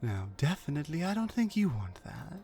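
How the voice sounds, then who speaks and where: A woman playing a dominant, mean orc warrior in an ASMR roleplay, mocking and deliberate, with drops into breathy whispers. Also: low voice, sing-song, dark tone. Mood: menacing. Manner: menacing, mocking, slow and deliberate, often dropping to breathy whispers. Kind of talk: ASMR roleplay, slow dramatic monologue.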